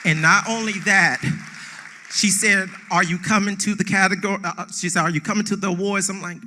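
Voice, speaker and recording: A man with a bright, forceful voice pitched a little high speaks from an awards stage. He delivers a heartfelt, unscripted acceptance speech, hammering key phrases by repeating them. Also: steady tone, brisk, some chatter behind, slight background noise. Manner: emphatic, repeats phrases for effect. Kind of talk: emotional, off-the-cuff acceptance speech. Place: on stage at a theatre awards ceremony.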